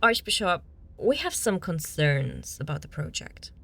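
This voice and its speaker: A woman's voice: deep voice, sing-song, unhurried, vocal fry, pushed and bright, full character voice. A woman playing a worried, practical nun who works as an engineer, tentative and earnest, in an audio drama. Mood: hesitant, concerned.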